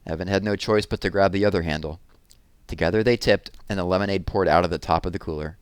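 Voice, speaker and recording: A man narrating a children's chapter book expressively, leaning deliberately on key words for drama. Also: deep voice, clear, resonant tone, no room sound, clean studio sound. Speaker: read-aloud narrator.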